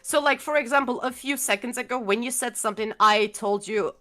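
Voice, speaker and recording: A woman's voice: fairly high pitch, fairly quick, fairly bright tone. A young woman with a Turkish accent, a guest chatting casually, rambling and hunting for words with many fillers. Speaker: interview guest. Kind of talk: casual video-call chat.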